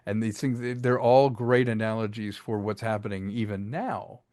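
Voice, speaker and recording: A man's voice: on the low side, steady tone, normal speed, darkish tone, dry recording. Chatty man in a dry-sounding interview. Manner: chatty, enthusiastic, acts out bits of dialogue. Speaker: audio drama writer and director being interviewed. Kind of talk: casual interview talk.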